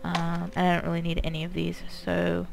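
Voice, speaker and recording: Gamer woman chatting thru her playthrough, bit of vocal fry, way too close to mic, loud noise n clicks. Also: lowish voice, completely flat delivery, moderate pace. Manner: informal, thinking aloud. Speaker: gameplay commentator. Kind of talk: casual gameplay commentary. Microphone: microphone very close to the mouth.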